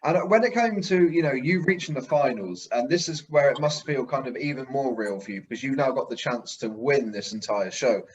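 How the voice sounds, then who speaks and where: Male interviewer on a slightly dull recording. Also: mid-range voice. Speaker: interviewer, show host. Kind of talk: casual interview questioning.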